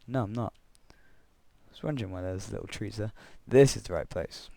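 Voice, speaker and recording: A young man with a croaky voice from being unwell, rambling through gameplay commentary and a story, correcting himself often with chuckling asides. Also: lowish voice, moderate pace, mellow, dry recording. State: croaky voice from illness. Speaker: Minecraft let's player.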